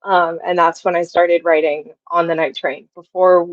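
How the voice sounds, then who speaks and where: Young female, slightly low voice, effusive casual storyteller, dry close sound. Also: little pitch movement, normal speed, dry recording, lo-fi sound. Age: young adult. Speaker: scriptwriter, interview guest. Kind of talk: casual, anecdotal interview talk.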